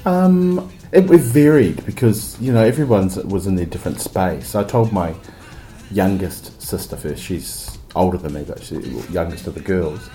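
A man in casual podcast chat, husky and coughing from a cold, candid and giggly, telling stories with halting restarts. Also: deep voice, animated, dark tone. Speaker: podcast co-host.

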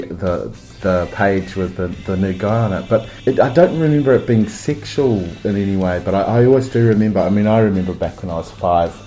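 A man with a low, warm voice, gone husky from a cold, with the odd cough breaking through. He tells personal stories candidly, giggling and restarting his sentences as he goes. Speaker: podcast co-host. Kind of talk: casual chat.

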